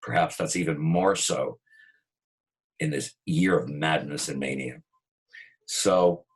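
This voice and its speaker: A man hosting an interview show, giving an expansive, flattering introduction and often repeating himself. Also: low voice, low-quality recording. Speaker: talk show host. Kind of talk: casual, effusive interview introduction.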